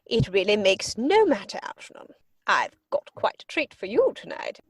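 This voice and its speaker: A woman's voice: mid-range voice, very animated, moderate pace, breathy edge, no echo. A woman playing an imperious older aristocratic English lady in a theatrical comedy reading, pompous and emphatic. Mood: grand, gossipy.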